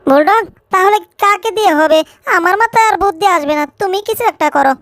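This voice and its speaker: A woman's voice: extremely high pitch, quick pace, a bit bright, no echo. A woman voicing a timid, naive cartoon cat in a fan dub, pleading yet eager. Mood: helpless.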